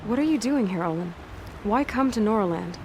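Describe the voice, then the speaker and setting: An actress playing a direct, suspicious young huntress who asks blunt, probing questions in a video game cutscene. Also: female voice, medium pitch, lively intonation, normal speed, noisy background, natural voice.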